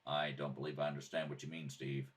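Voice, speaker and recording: A man playing a calm, patient police detective in an old-style radio drama, matter-of-fact and deadpan. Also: extremely low pitch, fast, voice pushed down. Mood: calm, probing. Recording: distant mic in a home kitchen.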